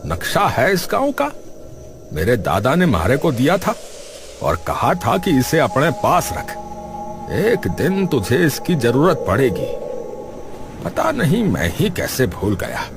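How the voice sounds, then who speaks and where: A man's voice: medium pitch, huge pitch swings, takes it slowly, full character voice. A man voicing a proud, earnest village headman in a cartoon story, with an emphatic, dramatic manner. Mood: urgent, earnest. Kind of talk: animated cartoon story dialogue. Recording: loud background music.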